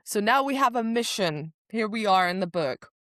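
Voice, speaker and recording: A woman's voice: mid-range voice, lively intonation, normal speed, fairly bright tone, no room sound, clean studio sound. A woman co-hosting a podcast in a casual book discussion, with wry, joking asides. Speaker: podcast co-host.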